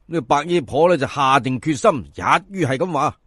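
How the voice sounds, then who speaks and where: A man's voice: fairly high pitch, expressive, fairly quick, fairly bright tone, slightly rough, dry recording, studio quality. A man narrating a folk tale in colloquial Cantonese as a storyteller, theatrical, addressing listeners and stretching words for emphasis.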